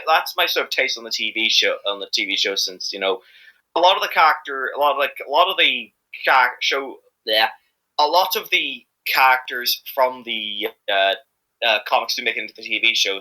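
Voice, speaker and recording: A man's voice: fairly low pitch, pushed and bright, rough recording. A man chatting casually as an interviewed amateur voice actor, rambling and often repeating himself hesitantly.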